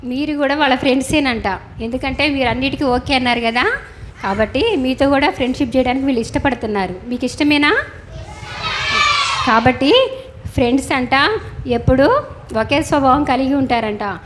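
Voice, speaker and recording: High-voiced woman teaching children. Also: normal speed, pauses often. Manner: instructive, questioning, addressing children. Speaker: Sunday school teacher hosting puppet show. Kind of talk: children's moral lesson with puppet dialogue. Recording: clear background noise, ambient sound.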